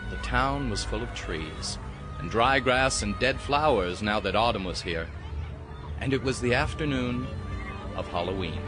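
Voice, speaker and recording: A man's voice: lowish voice, animated, measured pace. A man giving dramatic, scripted storybook narration in an audio drama. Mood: evocative. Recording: music behind the voice.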